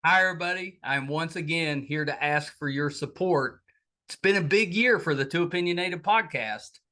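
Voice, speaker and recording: A man's voice: a little high, normal speed, sharp tone. A middle-aged American man speaking as a podcast host, casually and earnestly thanking and appealing to his audience in a chatty way. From United States.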